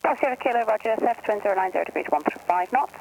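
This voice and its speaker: A man's voice: high voice, expressive, rapid-fire, vocal fry, rough recording. A man working as an air traffic controller, speaking clipped radio phraseology over a radio transmission.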